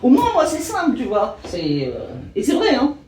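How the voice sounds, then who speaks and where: A middle-aged woman guest chatting casually about how she found her tastes, lively and self-assured. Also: high-pitched, normal speed, roomy sound, far from the mic, a loud crowd. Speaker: guest, dominant partner. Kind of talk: casual chat.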